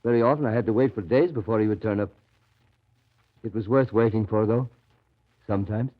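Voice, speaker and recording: An actor playing a ragged, awestruck Russian devotee in a 1930s radio drama, with a hesitant, reverent, confiding way of talking. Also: male voice, lowish voice, fairly quick, natural voice. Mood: confiding, admiring. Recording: muffled 1930s radio recording.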